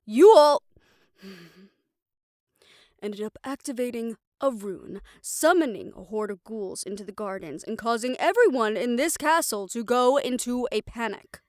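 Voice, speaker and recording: An actress voices a stern woman in charge, exasperated and fiercely protective, in an audio drama. Her voice sits fairly high and is recorded close and dry. She lays down the law with emphatic authority. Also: expressive, measured pace, a little mellow, dry recording. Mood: exasperated scolding. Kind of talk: scripted audio roleplay drama acting.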